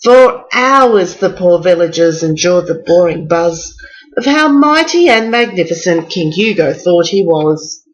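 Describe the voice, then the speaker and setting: Female bedtime storyteller, unhurried rhyming narration, mid-pitched, slightly muffled home recording. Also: animated. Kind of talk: bedtime picture-book reading in rhyming verse.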